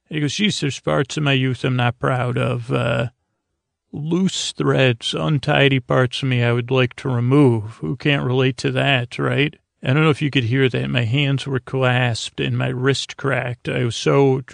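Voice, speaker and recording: A man hosting a bedtime podcast in a casual, meandering monologue, halting and self-deprecating, often trailing off mid-thought, with a gravelly voice. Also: medium pitch, no echo, studio quality. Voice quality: gravelly, creaky. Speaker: podcast host.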